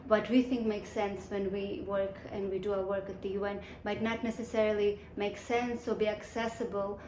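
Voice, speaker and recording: A woman in her forties from Kazakhstan, answering interview questions in a measured, thoughtful way with many pauses and restarts. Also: mid-range voice, level tone, darkish tone, echoey room, slight background noise, low-quality recording. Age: 40s. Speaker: guest, UN section chief.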